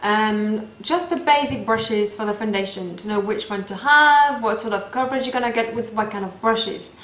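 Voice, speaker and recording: A woman's voice: mid-range voice, moderate pace, fairly bright tone, roomy sound, a little hiss or hum, low-quality recording. A woman in her thirties with a French accent, giving a casual makeup tutorial to camera, with playful asides and searches for English words. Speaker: makeup vlogger. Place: small room indoors, seated.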